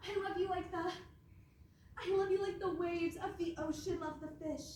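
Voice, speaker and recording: Woman playing a gushing, high-voiced scheming princess. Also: normal speed, slight background noise. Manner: syrupy, declamatory flattery. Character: gushing, scheming eldest princess daughter, mean and flattering. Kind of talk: comic stage readers theatre. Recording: very echoey hall, far from mic.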